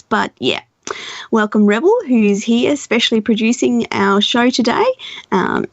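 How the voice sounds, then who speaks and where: A woman hosts a talk radio show with a croaky voice, chatting to listeners and then reading facts aloud at a steady pace. Her voice sits at an ordinary middle pitch. The sound is dry and close, with a slightly muffled, lo-fi edge. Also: no room sound. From Australia. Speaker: radio host. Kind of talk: hosting and reading a history piece. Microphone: studio microphone.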